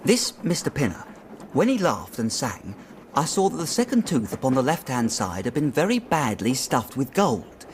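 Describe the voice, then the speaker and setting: Actor as an animated, earnest young clerk. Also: male voice, medium pitch, normal speed, a little hiss or hum, lightly acted. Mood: puzzled, intent. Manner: eager, deferential, storytelling. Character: young male clerk, earnest, uneasy, recounting his puzzle. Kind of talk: period radio drama acting.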